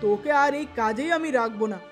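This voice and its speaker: A woman's voice: a little high, brisk, over music, close to an everyday voice. A woman voicing a curt, scolding male timber merchant in a cartoon moral tale. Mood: angry. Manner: curt, scornful.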